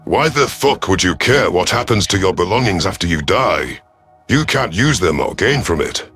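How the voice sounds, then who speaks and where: Man as a deep, stern alien commander. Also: animated, normal speed, a bit bright, faint music behind. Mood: irritated. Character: gruff male reptilian alien rebel commander, sardonic, proud. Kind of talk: first-person scripted audio story narration.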